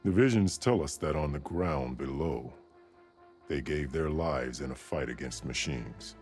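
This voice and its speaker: An extremely low-voiced war chief, speaks with solemn authority and ceremony. It is video game drama, with quiet music behind him. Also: male voice, a little slow. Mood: solemn, commanding. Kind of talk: video game cutscene acting.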